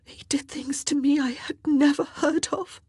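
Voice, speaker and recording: Weeping young lady-in-waiting, high pitch, gasping, halting, ashamed, audiobook drama. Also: fairly even intonation, a little mellow, dry recording, studio quality. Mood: tearful, ashamed. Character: distraught young maid of honor, tearful and ashamed. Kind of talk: audiobook character acting.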